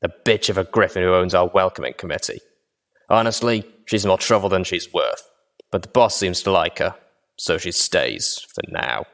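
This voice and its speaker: Man as menacing pony gangster, audiobook villain, low-pitched, sarcastic, curt, impatient. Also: normal speed, fairly bright tone. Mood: contemptuous. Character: menacing male slate-grey pony gang lieutenant, sarcastic and impatient. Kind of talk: audiobook character dialogue.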